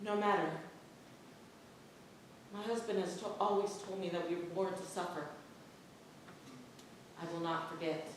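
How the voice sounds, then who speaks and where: A woman's voice: on the low side, fairly even intonation, a little slow, pauses often, a little hiss or hum, close to an everyday voice. Woman as tormented Puritan wife, impassioned stage monologue, distant mic, heavy room echo. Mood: subdued, resigned. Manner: earnest, impassioned, swelling from hushed to loud. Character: guilt-ridden pious Puritan wife and mother confessing her sins. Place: playhouse stage with live audience. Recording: distant mic in very echoey hall.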